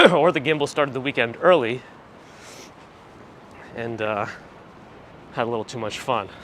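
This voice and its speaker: A man chats his way through a live walking stream, answering viewers in a relaxed, dry manner. His voice sounds a bit phlegmy and gravelly, pitched mid-range for a man. Clear traffic and street noise hum behind him outdoors. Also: unhurried. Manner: relaxed, chatty, dry asides. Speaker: livestream host. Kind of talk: casual live-stream chat reading viewer comments. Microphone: handheld gimbal camera rig with recorder. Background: traffic and city ambience.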